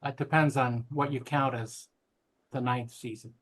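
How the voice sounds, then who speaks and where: A man's voice: mid-range voice, steady tone, unhurried, fairly bright tone, poor audio. A man with a mid-range voice co-hosts a casual podcast. He speaks in short, dry asides and quips. The delivery is conversational and offhand. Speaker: podcast co-host. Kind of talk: casual banter.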